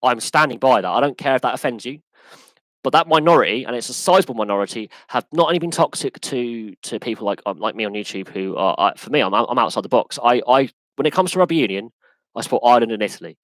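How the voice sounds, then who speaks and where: A man with an English accent hosting a live sports podcast Q&A, talking in an animated, emphatic way that often restarts and repeats itself. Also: medium pitch, quick pace, no echo, low-quality recording. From England. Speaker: podcast host. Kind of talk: live Q&A rant.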